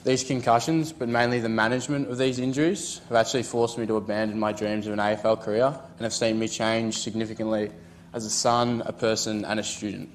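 Australian teenage male speaker, earnest, reads prepared notes, lowish pitch, faint hall noise. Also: level tone, brisk. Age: teens. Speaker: student speaker. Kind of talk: prepared personal talk. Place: conference hall podium.

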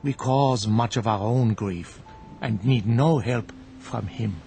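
An actor playing a grave old monk in a radio drama, speaking with solemn, deliberate, preacherly certainty. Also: male voice, medium pitch, gravelly, mellow. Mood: grave, paternal. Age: older. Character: grave, zealous old monk, unshakably certain of his faith. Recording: music bed behind the voice.

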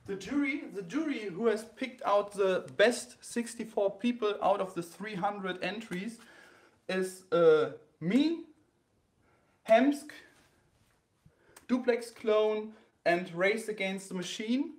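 A man's voice: high voice, reverberant. German-accented man with many fillers. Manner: halting, many fillers and restarts. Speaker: host of a graffiti sketch battle. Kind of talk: live-streamed explanation to camera. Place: indoor room with a wall-mounted bracket.

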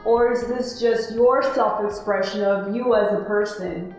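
A woman asks long, curious questions in an even, mid-range voice. Her voice rings with heavy room echo, and music plays faintly behind her. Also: medium pitch, a little slow. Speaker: off-camera interviewer. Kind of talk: interview questions.

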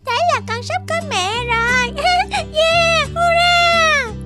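An excitable five-year-old cartoon girl, sweet and eager. Her voice is extremely high, with music playing clearly behind it. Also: slow pace. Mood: excited. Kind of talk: animated dubbed drama.

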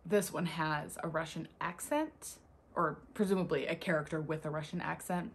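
Low, husky young woman vlogger. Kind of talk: casual chat to camera. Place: small furnished room lined with bookshelves, indoors.

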